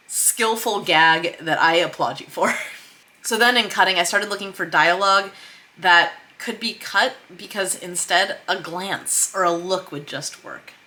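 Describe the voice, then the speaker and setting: A woman with a slightly low, bright, forward voice talks through a lesson in an informal vlog style. She thinks aloud as she goes, leaning on key words with emphasis and a self-deprecating streak. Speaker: screenwriter and director teaching script revision. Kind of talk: informal explainer vlog about script revision.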